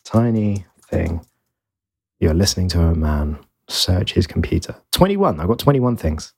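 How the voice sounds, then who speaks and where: A low-voiced man, dry and teasing, the co-host heard ribbing his mates on a close-miked, echo-free podcast. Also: very animated, unhurried, creaky, a little mellow, husky. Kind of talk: casual banter.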